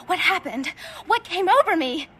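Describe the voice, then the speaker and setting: An actress playing a distraught, hungry, guilt-ridden young female vampire in a video game, with breathy, halting, anguished delivery. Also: extremely high pitch, very animated, bright, forceful tone, heavily acted. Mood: dazed, guilty.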